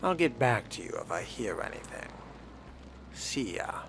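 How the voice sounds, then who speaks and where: A man voicing an aloof, curt fledgling vampire in a measured, dry manner for a video game role-play. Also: medium pitch, sing-song, creaky, noisy background.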